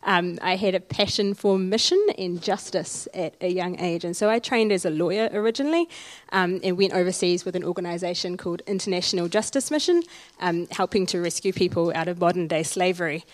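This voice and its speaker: A woman's voice: lowish voice, fairly quick, no echo. A woman, from Sri Lanka and raised in Auckland, speaking earnestly and reflectively as an interview guest in a church service. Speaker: interview guest, community development worker.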